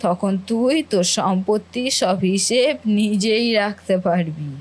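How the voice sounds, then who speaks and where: A woman's voice: mid-range voice, a little slow, a little mellow, husky. A woman voicing a scheming, meddlesome aunt in a cartoon family melodrama, talking in an insinuating, goading way. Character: scheming meddlesome aunt poisoning a nephew's ears.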